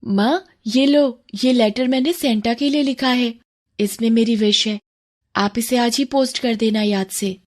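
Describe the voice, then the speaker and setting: A woman's voice: fairly high pitch, fairly even intonation, a little slow, natural voice. A woman voicing an innocent, earnest little servant girl in a children's moral-story cartoon. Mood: hopeful.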